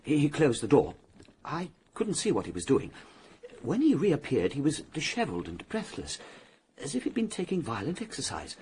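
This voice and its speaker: An actor playing a polite, formal secretary giving testimony in a radio drama, precise and slightly hesitant. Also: male voice, on the high side, huge pitch swings, moderate pace, a little mellow. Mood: formal, recounting. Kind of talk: detective radio drama acting. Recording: faint ambient noise behind voice.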